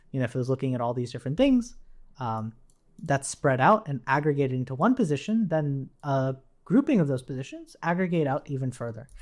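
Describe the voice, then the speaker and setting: A man giving an informal coding tutorial, explaining step by step in a conversational way and often checking in with a quick "right?". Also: fairly high pitch. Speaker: programming instructor.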